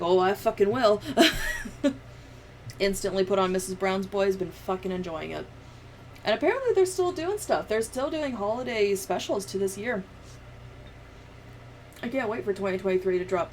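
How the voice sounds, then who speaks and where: A woman's voice: mid-range voice, slight background noise, rough recording. A woman livestreamer chatting and telling stories in a casual, sweary, giggly way. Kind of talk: casual livestream chat, storytelling.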